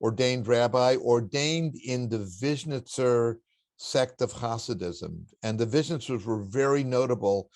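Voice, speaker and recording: An older man with a baritone voice, a guest in an interview, telling family stories in a reflective, anecdotal way with occasional chuckles. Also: unhurried, no echo, clean studio sound. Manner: warm anecdotal storytelling with chuckles. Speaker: interview guest, spiritual teacher.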